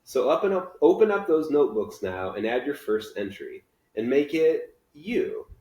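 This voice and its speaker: A man's voice: mid-range voice, big pitch swings, moderate pace, warm tone, close to an everyday voice. A man reading a children's novel aloud, voicing an upbeat, encouraging and kind schoolteacher with emphatic delivery. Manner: emphatic, theatrical, reassuring. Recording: echoey room.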